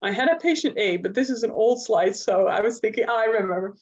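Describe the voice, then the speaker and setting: A woman's voice: medium pitch, expressive, quick pace. A woman from the Netherlands, a native Dutch speaker with a Dutch accent, giving a semi-spontaneous academic talk as a clinician, explaining with examples. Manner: explanatory, illustrates points with examples. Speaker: clinical neuropsychologist giving a lecture. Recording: dull, lo-fi audio.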